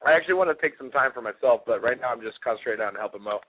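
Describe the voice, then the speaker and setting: Fighter guy on a radio show, chill short answers, brightish voice, dry sound. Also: mid-range voice, expressive, racing, no room sound, rough recording. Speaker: guest fighter. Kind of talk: radio interview.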